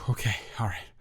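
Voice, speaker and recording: A man playing a nervous, self-deprecating young male roommate in an improvised audio roleplay, stammering and repeating himself as he rambles.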